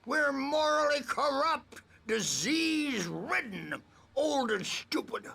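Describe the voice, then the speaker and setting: An actor playing a crazy old rocker in a video game, rambling and conspiratorial in a cracked old voice, with halting, theatrical delivery. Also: male voice, extremely high pitch, big pitch swings, gravelly, pushed and bright, exaggerated voice. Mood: weary, muttering. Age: older. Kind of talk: video game character acting.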